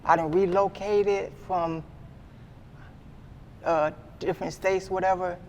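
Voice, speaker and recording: A man in his twenties giving a candid sit-down interview, earnest and halting, often repeating himself as he restarts. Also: high voice, fairly even intonation, highs cut off, a little hiss or hum. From Midwestern US. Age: 20s. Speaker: guest artist.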